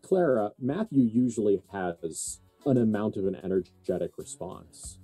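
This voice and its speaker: A man running a tabletop horror game as game master, improvising narration in deliberate, halting, dramatic phrases with music playing behind him. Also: on the low side, animated, mellow, husky.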